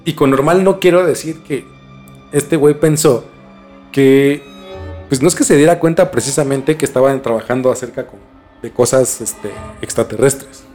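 A man's voice: medium pitch, moderate pace, darkish tone. A man with a Mexican accent telling a story in a casual, slangy podcast chat as host. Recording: music bed behind the talk. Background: music.

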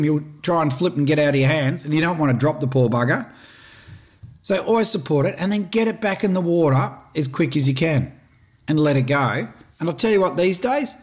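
A middle-aged Australian man talks casually on his fishing podcast. He is blunt and passionate, and his voice sits slightly high for a man. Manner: blunt, passionate, plain-spoken. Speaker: fishing show host.

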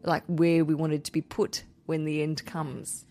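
A woman's voice: sits low, a little slow. A woman with an Australian accent hosting a podcast, chatting casually and joking with a friend. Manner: chatty, jokey and lively. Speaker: podcast host. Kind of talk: casual chat.

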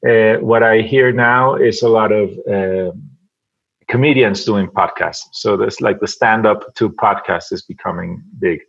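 A man from Venezuela, speaking English as a guest on a podcast, halting and repeating words as he thinks aloud. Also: on the low side, creaky, breathy edge, lo-fi sound. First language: Spanish. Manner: halting, many repeated words, soft laughs. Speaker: podcast guest, advertising creative. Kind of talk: casual interview chat.

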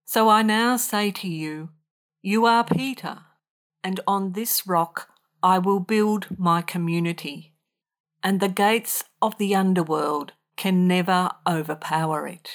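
A woman's voice: medium pitch, slow pace. A woman reading a gospel passage aloud as a scripture reader.

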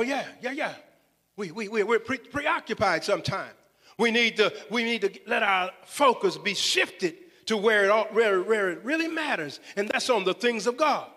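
A man's voice: extremely high pitch, sharp tone. A man preaching a fervent sermon with scripture, exclaiming and repeating phrases for emphasis. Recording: echoey hall with long reverb.

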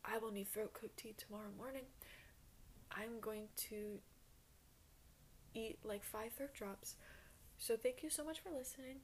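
A woman's voice: a little high, steady tone, a little slow, pauses often, slight background noise. Young woman with a sore, strained voice. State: sore throat, strained and breaking voice. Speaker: podcast host. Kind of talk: casual podcast sign-off.

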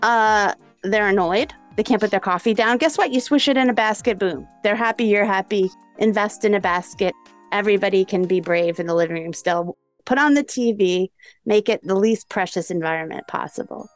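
A woman hosting a podcast in an informal, animated way, full of small asides and casual conversational phrasing. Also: medium pitch, normal speed, soft background music. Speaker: podcast host.

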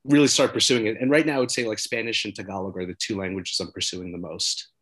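A man's voice: fairly low pitch, steady tone, fast, a bit bright. A man from New York City, a doctor, speaking as a guest on a podcast, eager and thoughtful. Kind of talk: podcast interview.

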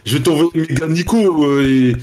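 A man's voice: on the high side, fairly quick, background noise. A man co-hosting who teases the guest with mock threats. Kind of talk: teasing banter.

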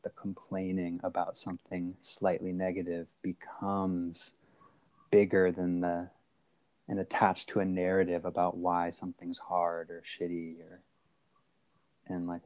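A man's voice: low-pitched, darkish tone. A man hosting a podcast over a phone call, talking casually with lots of fillers and restarts. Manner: rambling, many fillers and restarts. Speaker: podcast host.

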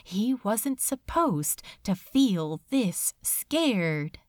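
A woman reading fiction aloud as a dramatic audiobook narration, with deliberate, expressive phrasing. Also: medium pitch, no room sound, clean studio sound. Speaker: audiobook reader.

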